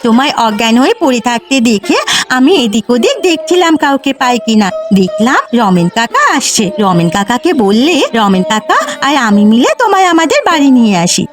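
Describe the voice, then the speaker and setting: A woman voicing a kind, helpful young village girl in a cartoon, earnest and animated. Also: on the high side, brisk, over music. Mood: earnest explaining. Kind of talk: Bengali cartoon voice acting.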